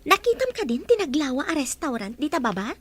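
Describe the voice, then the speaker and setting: A woman acts a playful young hotel trainee in an Ilocano audio drama. Her voice sits extremely high and bounces around in pitch. She is giggly, teasing and outspoken. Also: big pitch swings, a little fast. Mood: chatty.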